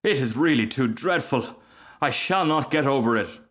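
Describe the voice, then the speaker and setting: A man's voice: medium pitch, animated, normal speed, fairly bright tone, pitched above the performer's own voice, natural voice. Man playing a haughty, self-important storybook firework rocket. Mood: lofty, scornful. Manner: haughty, emphatic, self-pitying declaiming. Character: pompous, self-important, easily offended male firework rocket. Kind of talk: storybook character voice.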